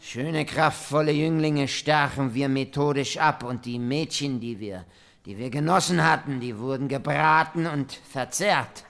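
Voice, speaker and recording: A depraved robber captain in a radio play, making his confession in a middle-pitched voice. He takes his time over archaic phrases, savouring each grisly detail with methodical relish. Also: a little slow, creaky, fairly bright tone. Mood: savouring, grim.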